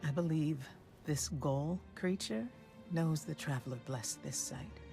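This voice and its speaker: A woman playing a grave, embittered warrior mystic in a video game cutscene, formal and measured. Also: deep voice, creaky, dark tone, faint music behind. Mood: bitter, grave.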